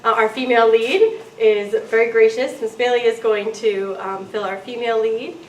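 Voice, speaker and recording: High-voiced woman, echoey and distant. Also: fairly even intonation, moderate pace, darkish tone. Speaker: club event organizer. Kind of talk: informal address to a group. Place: meeting room, away from the mic. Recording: distant, echoey, slightly noisy meeting room.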